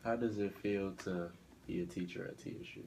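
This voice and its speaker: A man's voice: low-pitched, fairly even intonation, a little fast, creaky, background noise. A man acting as a student interviewer, asking short plain questions for an oral history project.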